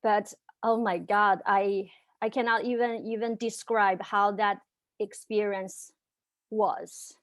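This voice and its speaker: A young woman with a Chinese accent tells her own story, stuttering heavily, with long runs of repeated sounds and many fillers. Her voice sits at an ordinary middle pitch for a woman. The recording is close and dry, with almost no room sound. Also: steady tone, takes it slowly, dry recording. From China. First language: Chinese dialect and Mandarin. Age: young adult. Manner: frequent fillers, earnest and candid. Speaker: panelist. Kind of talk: personal story on a video panel.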